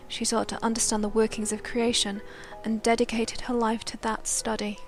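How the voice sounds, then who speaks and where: A woman reading scripted dramatic lore narration in a solemn storytelling manner. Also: fairly high pitch. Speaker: narrator of a game lore news chronicle. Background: background music.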